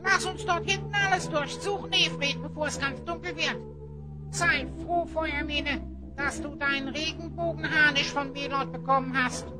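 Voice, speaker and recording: A woman playing a small, excitable, eager animal sidekick in a children's fantasy audio drama. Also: high voice, moderate pace, loud music behind the voice, close to an everyday voice. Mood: eager, fretful.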